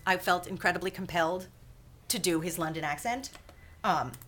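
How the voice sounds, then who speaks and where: A woman's voice: on the low side, normal speed, a bit bright, slight background noise. An American woman chatting casually in a self-deprecating way. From United States. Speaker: podfic maker and fan writer. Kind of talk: casual chat.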